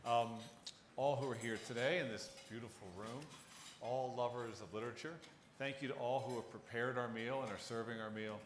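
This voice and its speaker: Man, event moderator, medium pitch, formal and deliberate, roomy echo, noisy recording. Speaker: interviewer and moderator. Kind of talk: formal live on-stage hosting. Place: live event in a large room. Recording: echoey, noisy event room.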